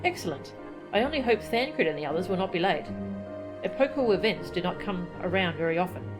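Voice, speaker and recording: A woman's voice: fairly low pitch, big pitch swings, a little fast, over music. A woman voicing a polite, well-spoken youth from a fantasy game, reading the formal lines aloud. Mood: wry, expectant.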